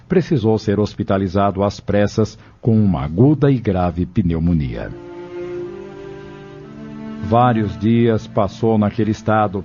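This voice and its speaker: A man's voice: fairly low pitch, mellow, slightly rough. A man with a Brazilian accent narrating an audio novel in formal, literary storytelling style. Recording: dull lo-fi audio with music behind.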